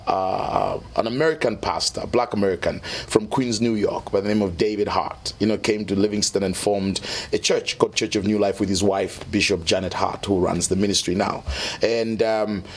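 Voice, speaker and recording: A middle-aged man from Zambia, a musician guest telling his story in a relaxed interview, often saying "you know". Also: fairly low pitch. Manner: easygoing, peppers speech with "you know".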